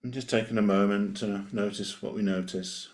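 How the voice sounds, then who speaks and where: Male meditation guide, low register, gentle unhurried delivery with plenty of space between lines. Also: steady tone. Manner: gentle, unhurried invitations with long pauses.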